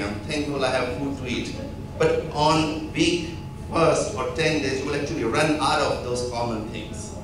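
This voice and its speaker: A middle-aged man giving an animated motivational talk at a medical seminar, speaking into a handheld microphone in a large auditorium. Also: a little high, far from the mic. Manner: animated, emphatic, counting off points. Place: large auditorium with seated audience. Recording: echoey hall, filmed from afar with noise.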